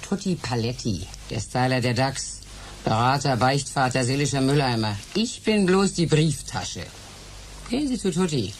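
Curt, cold music manager. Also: female voice, extremely low pitch, lively intonation, normal speed, slight background noise. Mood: cool, dismissive. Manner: curt, dismissive, sardonic. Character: cold, hard-nosed female music manager, curt and mercenary. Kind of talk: sci-fi radio drama acting.

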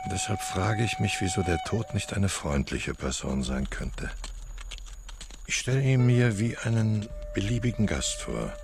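An actor playing a musing, folksy philosopher in a radio play, telling his thoughts in an animated, gravelly voice. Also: lowish voice, a little slow, noisy background, heavily acted. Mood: wryly musing. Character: musing, folksy philosopher picturing death as a friendly guest. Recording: music behind the voice. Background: music.

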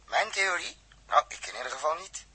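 A male actor playing a brisk, practical spaceship captain in a Dutch radio drama from the 1960s, with a Netherlands accent and a commanding, matter-of-fact manner. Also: on the high side, big pitch swings, pushed and bright, husky, dry recording, exaggerated voice. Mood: curious.

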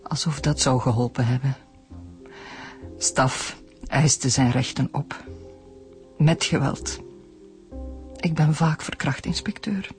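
Verbitterde, rouwende moeder. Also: female voice, rumbling low, slow pace, long pauses, a little mellow, faint music behind. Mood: bitter, wounded. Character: embittered, grieving mother, long-abused wife. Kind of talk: radio drama acting.

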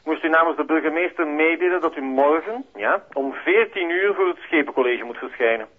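An actor playing a formal, officious mayor's aide with a Flemish accent, heard through a telephone filter in a TV crime drama. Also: male voice, on the high side, brisk. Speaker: mayor's chief of staff. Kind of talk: naturalistic TV crime drama acting. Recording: tinny phone line.

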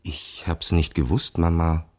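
Obedient grown son in a radio drama, deep voice, short deferential answers, quietly stubborn. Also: male voice, level tone, unhurried. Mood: meek, correcting. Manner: terse, deferential, quietly insistent. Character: dutiful, subdued grown son answering his domineering mother.

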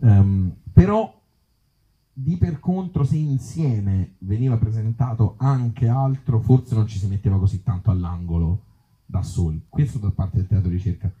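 A man's voice: fairly low pitch, unhurried, mellow, highs cut off. A middle-aged man with a Tuscan accent talking off the cuff to a live audience as an interviewed actor, colloquial and wry, with some mild swearing. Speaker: actor and author being interviewed. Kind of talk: spontaneous talk to a live audience.

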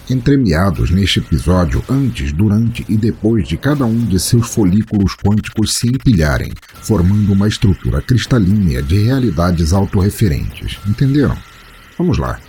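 A Brazilian man delivers a scripted podcast intro in a grandiloquent, playfully surreal style. His voice is low and dark-toned, swinging widely in melody. Ambient noise is clearly audible behind him. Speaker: podcast host.

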